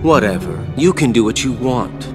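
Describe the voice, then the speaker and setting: A man's voice: mid-range voice, expressive, moderate pace, over music, lightly acted. An actor voicing a flippant, laid-back young male villain. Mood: smug. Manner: drawling, flippant.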